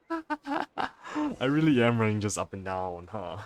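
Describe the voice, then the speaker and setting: A man's voice: fairly low pitch, wildly swooping pitch, takes it slowly. A man giving casual, playful let's play commentary as he streams a game.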